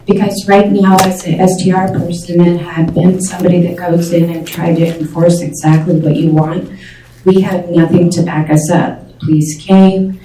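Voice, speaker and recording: Quiet, flustered woman at public comment, low and mellow, distant and roomy. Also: flat delivery, moderate pace. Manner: soft-spoken and flustered, with many fillers. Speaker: short-term rental owner giving public comment. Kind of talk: public comment at a county board meeting. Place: county board meeting room. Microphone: seated, away from the microphone. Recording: distant, echoey room audio with faint noise.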